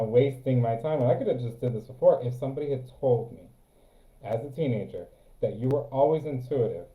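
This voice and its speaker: A man chatting casually as a co-host, giving earnest, repetitive advice. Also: mid-range voice. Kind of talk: casual spiritual chat. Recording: echoey phone-like audio.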